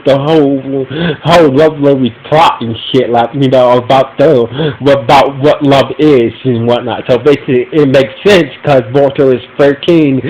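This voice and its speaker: A congested, stuffy-nosed man recaps an episode for his podcast, circling back and repeating himself as he goes. His voice sits a little high for a man. A faint fan hum runs behind him in his room at home. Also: a little mellow, poor audio. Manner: rambling, repeats phrases and restarts often. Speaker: podcast host reviewing an episode. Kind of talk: casual spoken episode recap. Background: humming fan.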